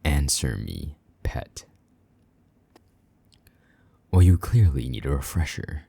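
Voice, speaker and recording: A possessive male vampire knight, acted by a man for intimate audio roleplay. The voice is rock-bottom deep and very mellow, with a breathy husk that drifts toward whispering. He speaks with condescending, jealous menace, close and dry. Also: lively intonation, dry recording, heavily acted. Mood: commanding. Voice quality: husky, breathy, half-whispered at times. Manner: condescending, slow-burning menace, mock-sweet endearments.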